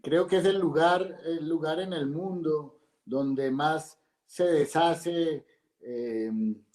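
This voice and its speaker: A man's voice: a little high, slow, darkish tone, echoey room. A male host speaking effusively and admiringly to his guest, with hesitant restarts. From Italy. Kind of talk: casual interview.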